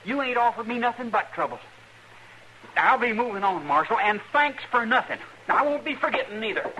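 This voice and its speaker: A man's voice: very high-pitched, expressive, fairly quick, sharp tone, full character voice. An actor playing a naive, stubborn young farm boy with a rural American accent and a hesitant, folksy manner, in radio western style. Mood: resentful. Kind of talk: old-time radio western acting. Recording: noisy old radio drama recording.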